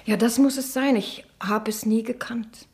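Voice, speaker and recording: Actress as a timid, wistful ageing niece. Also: female voice, fairly high pitch, steady tone, normal speed, a little mellow, natural voice. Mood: subdued, wistful. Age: middle-aged. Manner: hesitant, subdued. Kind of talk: German radio drama acting.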